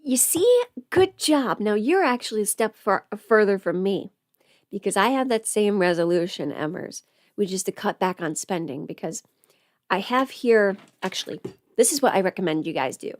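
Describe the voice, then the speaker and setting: Young woman, medium pitch, casual livestream chat, informal host, talkative, dry close sound. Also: expressive, moderate pace, no room sound. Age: young adult. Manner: chatty and informal, asking listeners rhetorical questions.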